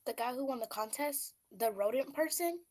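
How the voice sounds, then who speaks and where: A woman's voice: high voice, expressive, moderate pace, a little mellow. A woman playing an overworked stage manager in a comedy, dry and matter-of-fact as she needles others. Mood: questioning.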